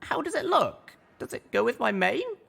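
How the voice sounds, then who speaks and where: A man's voice: very high voice, very animated, normal speed, gravelly, fairly bright tone, husky, voice pushed up, exaggerated voice. A man voicing a young, earnest mare pony heroine, firm yet girlish, in an audiobook. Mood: pleased. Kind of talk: audiobook character acting.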